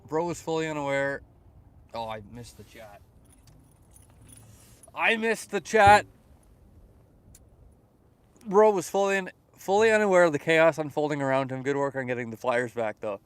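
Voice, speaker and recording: A man's voice: a little high, expressive, normal speed, long pauses, clean tone, no echo. A young man from Canada chatting casually on a fishing livestream outdoors by a lake, reading comments aloud and rambling with repeated words. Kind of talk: casual live-stream chat reading comments.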